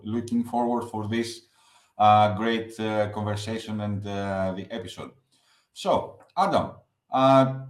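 A man hosting a podcast, asking questions haltingly with many fillers. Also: fairly low pitch. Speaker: podcast host. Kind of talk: interview questions. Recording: roomy echoey sound.

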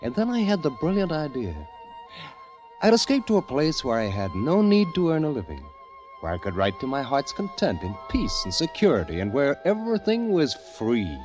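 A man's voice: a little high, wildly swooping pitch, moderate pace, a little mellow. An actor playing a penniless, dreamy poet reading out his diary in a florid 1940s radio style. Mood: exultant. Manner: dramatic, florid, exultant. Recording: dull vintage radio broadcast. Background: prominent music.

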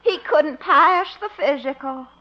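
An American actress playing a sweet, dreamy, romantic sweetheart in an old-time radio sitcom, with a coy, languid delivery. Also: female voice, high-pitched, measured pace. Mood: tender, sympathetic. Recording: muffled, noisy early 1950s radio recording.

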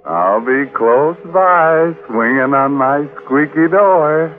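An actor playing a gleefully macabre mystery host, sardonic and teasing, in tongue-in-cheek 1940s radio narration. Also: male voice, fairly high pitch, animated, slow. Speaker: horror show host. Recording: muffled old radio recording, music behind.

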